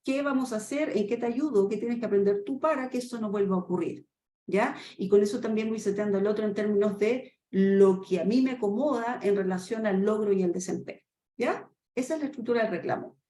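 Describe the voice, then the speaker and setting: A Chilean woman in her fifties giving a webinar lesson in a quick, animated way, acting out short dialogues and asking rhetorical questions. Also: mid-range voice. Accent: Chilean Spanish. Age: 50s. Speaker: trainer. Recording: echoey room with faint noise.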